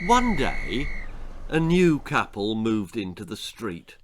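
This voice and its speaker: A British man reads a children's tale as its author. His delivery is dramatic and takes its time, with pitch that rises and falls widely. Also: sing-song, takes it slowly, background noise. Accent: British English. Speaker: author reading own stories.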